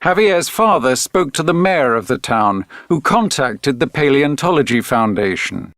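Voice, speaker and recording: A man reading a children's read-along story as a narrator, deliberate and expressive. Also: mid-range voice, no echo, clean studio sound. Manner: deliberate, clearly phrased, expressive reading for learners. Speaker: textbook audio narrator.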